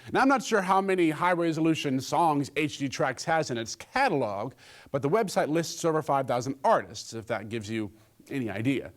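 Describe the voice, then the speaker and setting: A man presenting a scripted gadget review, with a joking streak in his delivery. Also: fairly high pitch.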